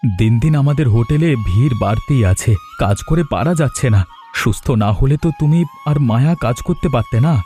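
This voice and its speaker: A caring village father, voiced by a male actor in a cartoon fable. He is gentle, anxious and earnest, with a mellow, soft-edged tone. Faint music plays underneath. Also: mid-range voice, lively intonation, a little fast, natural voice. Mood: fond, earnest. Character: caring, worried poor village father and husband. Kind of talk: Bangla moral-story cartoon dubbing.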